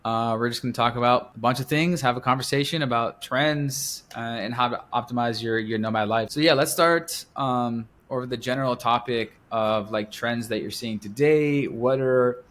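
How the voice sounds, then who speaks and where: A man's voice: mid-range voice, normal speed, hardly any pauses, a bit bright. A man from the United States, hosting a podcast, introducing his guest in an upbeat way and asking questions. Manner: upbeat, repetitive listing. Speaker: podcast host.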